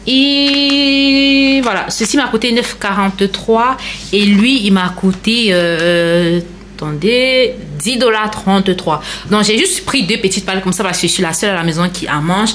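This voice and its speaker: A woman's voice: medium pitch, measured pace, fairly bright tone, slight background noise. A woman chatting casually and explaining things directly to viewers in a vlog. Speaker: lifestyle vlogger. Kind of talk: casual grocery haul vlog narration.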